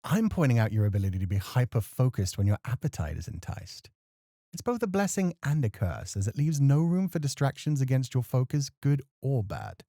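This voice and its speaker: A man acting as a refined, scholarly club host in a flirty ASMR roleplay, speaking formally with playful, gentle chiding. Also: mid-range voice, very animated, fairly quick, no room sound, clean studio sound. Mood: gently chiding.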